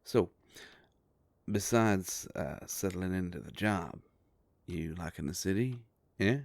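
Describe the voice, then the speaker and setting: Man acting a very deep, gravelly detective. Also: slow, a little mellow, no room sound, full character voice. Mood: reassuring, businesslike. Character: gruff but kindly Southern werewolf private investigator, protective boss. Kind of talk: intimate audio roleplay drama.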